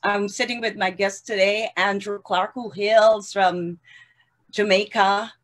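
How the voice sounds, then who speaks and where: A woman hosting an online arts interview, welcoming viewers and asking measured questions over a video call. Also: medium pitch, steady tone, fairly bright tone. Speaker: show host. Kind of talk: hosted interview. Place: home room.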